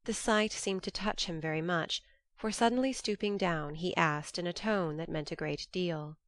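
Woman, audiobook narrator, lowish pitch, literary storytelling, close dry recording. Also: moderate pace, no echo.

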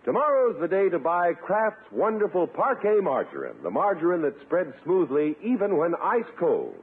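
A man's voice: high voice, wildly swooping pitch, moderate pace, husky. A man announcing in polished, emphatic 1950s radio style, reading scripted ads and credits. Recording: narrow-band 1950s radio recording. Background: music.

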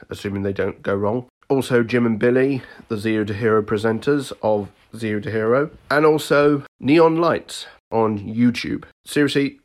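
A man presenting a casual, tongue-in-cheek podcast network promo. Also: fairly low pitch.

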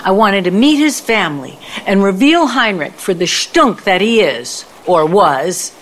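A woman's voice: medium pitch, very animated, a little slow. A woman playing a bitter, vengeful ex-girlfriend in an audio play, with a theatrical, sardonic manner. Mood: bitter, defiant.

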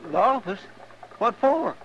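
A good-natured, gullible frontier sidekick in a radio western. His high voice has a folksy American rural drawl. The old recording is dull with faint noise. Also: very animated, unhurried, full character voice. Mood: concerned. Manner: folksy, hesitant, earnest. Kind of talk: 1950s radio western acting.

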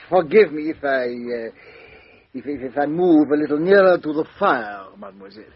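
A man's voice: a little high, expressive, normal speed, slightly rough. An actor playing a fussy, polite middle-aged male detective, questioning hesitantly with a stammer, in a 1950s radio drama. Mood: musing. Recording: dull 1950s radio recording.

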